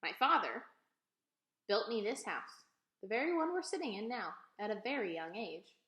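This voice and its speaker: A woman's voice: fairly high pitch, sing-song, normal speed, a bit bright, highs cut off. A woman voicing a proud, folksy elderly librarian in a storybook read-aloud, speaking with emphatic repetitions. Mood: proud, reminiscing. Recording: echoey room.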